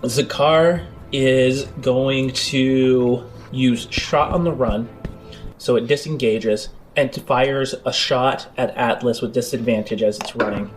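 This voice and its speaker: A man's voice: mid-range voice, steady tone, slow pace, soft background music. A man improvising the narration of a tabletop role-playing game as game master. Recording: clear background noise.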